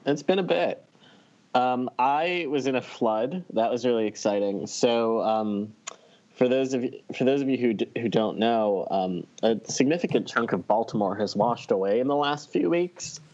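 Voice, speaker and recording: A man's voice: mid-range voice, animated, normal speed, a little mellow. Dull-sounding recording of a wry male podcaster. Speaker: podcast co-host. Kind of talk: casual chat and anecdote. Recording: slightly dull, lo-fi audio.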